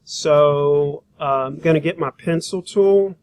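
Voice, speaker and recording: A man teaching a software demonstration, talking informally and thinking aloud as he works through each step. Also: on the high side, slow pace. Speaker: graphic design instructor.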